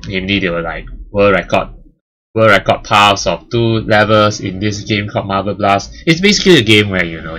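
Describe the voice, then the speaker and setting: A man's voice: low voice, pushed and bright, poor audio. A man casually chatting about his channel's history as its host, rambling with lots of fillers and restarts. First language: English and Chinese. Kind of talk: casual milestone chat.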